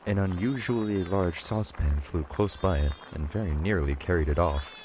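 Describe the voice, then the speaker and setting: Very deep-voiced male storybook narrator. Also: moderate pace, noisy background. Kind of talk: scripted audiobook narration of a children's story.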